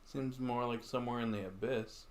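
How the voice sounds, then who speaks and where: A man's voice: medium pitch, steady tone, moderate pace, a little hiss or hum. A man livestreaming a video game, reacting casually with brief, offhand, laid-back remarks.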